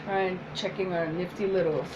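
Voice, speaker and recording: A woman whose first language is French, speaking as a psychotherapist in a soft, hesitant casual chat with many pauses. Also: fairly low pitch, steady tone, dull audio, background noise. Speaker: psychotherapist, co-host.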